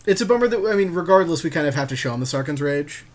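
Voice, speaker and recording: A man's voice: a little high, lively intonation, rapid-fire. A man co-hosting a gaming podcast, talking casually through his card plays in loose, slangy conversation. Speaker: podcast co-host playing a card game. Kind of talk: casual game commentary chat.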